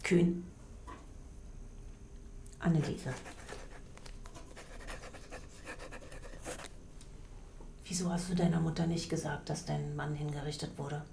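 A woman playing a stern but quietly sympathetic prison warden in a radio drama. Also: sits low, little pitch movement, moderate pace, creaky. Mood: dry, probing. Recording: roomy sound, faint noise.

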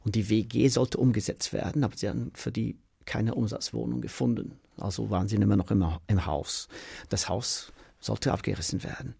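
A man's voice: on the low side, fairly even intonation, a little fast, vocal fry, mellow, breathy edge, dry recording, clean studio sound. An older man from Manchester, a native English speaker with an English accent, tells casual anecdotes as an interview guest in a rambling way, repeating words. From Manchester, England.

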